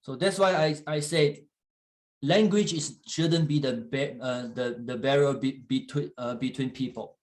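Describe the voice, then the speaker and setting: A man preaching in English, which is not his first language, pausing often with fillers and restarts while an interpreter translates. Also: medium pitch, fairly even intonation. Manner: halting, with frequent fillers and restarts. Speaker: guest church leader. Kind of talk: sermon in English with live interpretation.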